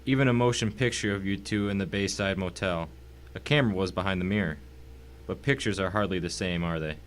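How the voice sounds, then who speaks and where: Cultured, menacing older-world gentleman villain acted by a man, lowish voice, courteous and cold, audio story, faint hum behind. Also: brisk, natural voice. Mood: smug, cool. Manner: urbane, formal, mock-courteous. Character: calm, wealthy, menacing husband, cultured villain. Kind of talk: dramatic audio reading of a short story.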